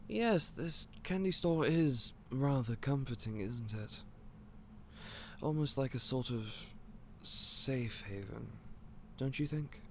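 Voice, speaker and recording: An actor playing a gentle, clumsy candy shop owner who stammers and speaks in a polite, old-fashioned way. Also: male voice, medium pitch, animated, slow, slight background noise. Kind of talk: audio roleplay acting.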